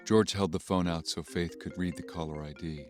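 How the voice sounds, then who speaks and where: A male narrator tells a scripted drama story. His voice is exceptionally deep. Music plays clearly beneath him. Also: fairly even intonation, normal speed.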